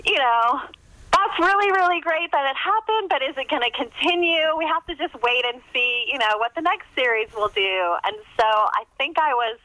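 A woman with a bright, clear-edged voice speaks as an interview guest. The sound is dry and close, and she talks in a casual storytelling style. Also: high voice, animated, normal speed, dry recording, low-quality recording.

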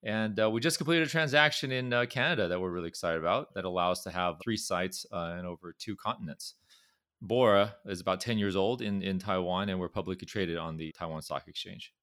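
Deep-voiced Californian businessman. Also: a little fast, a bit bright, no echo, clean studio sound. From Southern California. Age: middle-aged. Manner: repeats words while gathering thoughts. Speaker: pharmaceutical company chairman, guest. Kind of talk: conversational interview.

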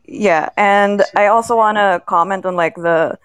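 A woman's voice: medium pitch, a little slow, clean tone, no echo. A young woman speaking casually and earnestly as a podcast creator in a group chat, often dropping in "like". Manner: earnest, frequent "like" as filler. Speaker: fiction podcast creator and guest.

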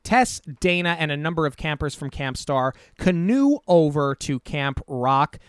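Guy on a movie podcast, kinda high voice, rambly and opinionated, dry close sound. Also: lively intonation, measured pace, smooth, dry recording. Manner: rambling, self-correcting, opinionated. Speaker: podcast co-host. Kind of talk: casual movie review chat.

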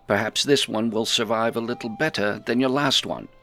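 A man's voice: medium pitch, moderate pace, faint music behind, pitched above the performer's own voice, close to an everyday voice. A man voicing a cheerful, hearty older male hobbit who tells stories and jokes with children in a storybook reading. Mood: jovial. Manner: jovial, hearty, warmly teasing.

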